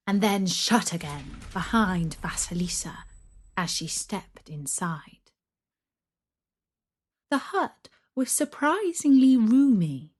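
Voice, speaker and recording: Woman narrator, children's spooky storytelling, unhurried and measured, husky breathy tone, very expressive pitch.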